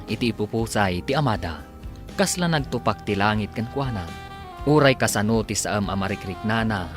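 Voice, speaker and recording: A man with an Ilocano accent narrating a radio drama serial in a dramatic, declamatory announcer style. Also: on the low side. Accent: Filipino, Ilocano. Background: music.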